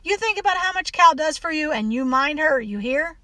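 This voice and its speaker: Audiobook part: stern reasoning dad, read by a woman, firm, measured, close dry sound. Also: high-pitched, lively intonation, sharp tone, no echo. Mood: flinty, firm. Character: flinty, firm Southern father, reasoning with his child.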